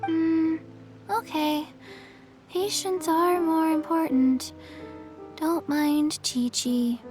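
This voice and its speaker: A woman playing a solemn, gentle little undead girl in a video game, with halting, deadpan, childlike delivery. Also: very high-pitched, full character voice. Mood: flat, earnest. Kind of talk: English video game voice acting. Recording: music behind the voice. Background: soft lullaby-like music.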